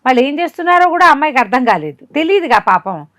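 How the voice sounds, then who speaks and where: Higher-voiced woman telling a folk tale. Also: fast talker, dry recording, clean studio sound. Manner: animated retelling, acting out quoted dialogue. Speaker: storyteller. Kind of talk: conversational oral storytelling of a folk tale to a listener.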